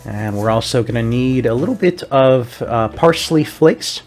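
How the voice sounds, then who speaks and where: A man's voice: medium pitch, animated, a little slow, a little mellow, soft background music. Chatty young man hosting a cooking vlog. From Puerto Rico. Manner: upbeat, chatty, step-by-step instructions. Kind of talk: casual recipe walkthrough.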